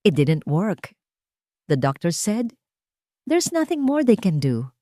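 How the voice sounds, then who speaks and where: A woman reader puts on a loyal, cautious Filipino man in a storybook drama. He talks gravely and in a measured way, in a lowish voice with a dry sound. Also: very animated, warm tone, dry recording. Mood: sorrowful. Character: cautious, loyal male friend of a tycoon.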